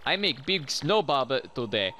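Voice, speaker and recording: A man's voice: medium pitch, sing-song, a little slow, sharp tone, a little hiss or hum. A man voicing a simple, cheerful male bomb creature with a Russian accent and broken, childlike phrasing.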